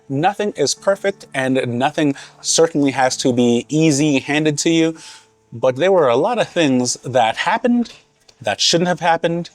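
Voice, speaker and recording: A man in his thirties, born in Haiti and raised in Massachusetts, talking to camera in an earnest, conversational, unhurried way. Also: mid-range voice, no echo. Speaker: vlogger. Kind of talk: casual reflective talk to camera.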